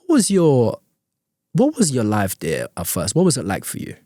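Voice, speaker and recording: A male interview host asking questions in a halting way, with frequent restarts. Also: medium pitch, wildly swooping pitch, warm tone, no echo, clean studio sound. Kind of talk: interview hosting.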